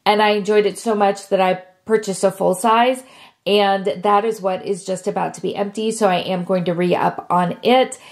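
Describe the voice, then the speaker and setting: Sick woman with a rough, sniffly voice. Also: medium pitch, darkish tone. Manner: chatty and friendly, with frequent fillers. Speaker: beauty vlogger. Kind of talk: casual talk to camera.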